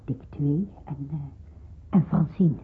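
An actress playing a motherly, discreet wartime woman in a radio drama, speaking in a kindly, hesitant, hushed way. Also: deep voice, slow, slight background noise. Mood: guarded, worried. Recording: muffled, phone-like old radio drama recording.

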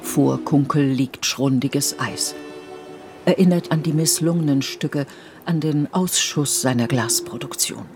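A woman reading literary narration for a radio play in a measured, deliberate way. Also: a bass voice. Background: music with violin.

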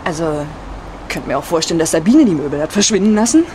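A lowish-voiced gossipy woman giving a witness interview in a mock documentary. She is halting, vague and colloquial, with faint ambient noise around her. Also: lively intonation. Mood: gossipy, speculating.

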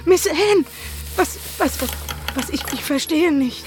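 An anxious servant woman in a radio play. Her very high voice is halting and deferential, with loud music behind it. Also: lively intonation. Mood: bewildered, alarmed. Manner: anxious, halting, repeating words. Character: frightened, bewildered enslaved servant woman, deferential. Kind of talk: radio drama acting.

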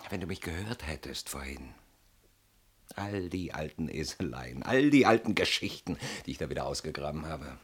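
Low, husky, haunted older man. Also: animated, moderate pace, exaggerated voice. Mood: weary, brooding. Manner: brooding, swinging from murmurs to sharp outbursts. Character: haunted, weary, defensive older man, insisting he is unafraid. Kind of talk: radio play acting.

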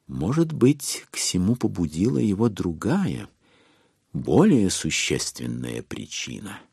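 A man narrating a classic novel as a theatrical audiobook, in a wry, expressive way with deliberate pauses. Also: low-pitched, gravelly, slightly rough, no room sound, studio quality.

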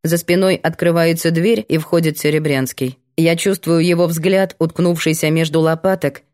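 A woman's voice: deep voice, steady tone, moderate pace, darkish tone. Woman narrating a novel. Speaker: audiobook narrator. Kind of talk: scripted first-person narration of a novel.

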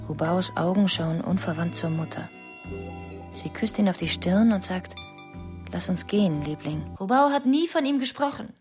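Suspicious young wife testifying in a radio play, mid pitch, short insistent phrases, faint music behind. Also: female voice. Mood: insistent, tense. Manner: terse, fragmented, insistent. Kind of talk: radio drama monologue.

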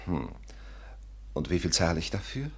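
An actor playing a vain, flattering Parisian art dealer, suave, florid and pompous, in a 1950s radio drama. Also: male voice, low voice, normal speed, husky, heavily acted. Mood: courteous, hesitant.

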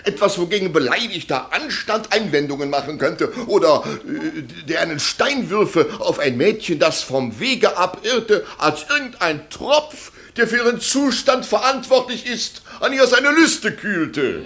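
An actor playing a pompous, florid defence lawyer with oratorical delivery in a 1960s German radio drama. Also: male voice, sits high, sing-song, unhurried, fairly bright tone, heavily acted. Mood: oily pleading.

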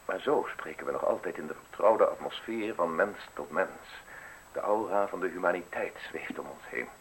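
An actor playing a suave, menacing justice official in a radio drama, speaking in measured, formal, insinuating phrases. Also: male voice, deep voice, gravelly, fairly bright tone, slight background noise, rough recording. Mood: calmly confiding. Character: suave, menacing male state justice official offering a deal.

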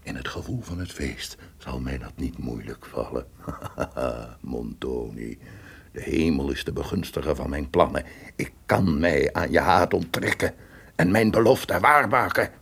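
An actor playing a scheming, suspicious conspirator who feigns friendship, with formal theatrical delivery in a gothic radio drama. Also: male voice, extremely low pitch, lively intonation, measured pace, fairly bright tone, heavily acted. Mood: vengeful. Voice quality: gravelly, husky. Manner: formal, theatrical, breaking into tense muttering.

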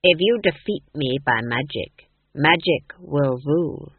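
A man's voice: a little high, expressive, breathy edge, no echo, phone-quality sound, heavily acted. A man doing a sneering mad duke. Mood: menacing. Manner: sneering, slow and deliberate. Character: cold menacing mad male duke, sneering.

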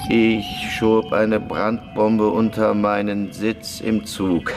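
A remorseless, simple-minded prisoner in a radio drama. He is deadpan and halting, in a lowish, slow voice over clearly audible music. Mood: deadpan confessing. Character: simple, matter-of-fact male prisoner, remorseless village bomber. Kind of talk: German radio play acting.

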